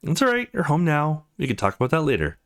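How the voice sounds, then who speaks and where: Man reading affectionate, lively visual novel woman. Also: fairly high pitch, fast, pitched above the performer's own voice, exaggerated voice. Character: affectionate, caring housemate woman. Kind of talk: visual novel dialogue read-aloud.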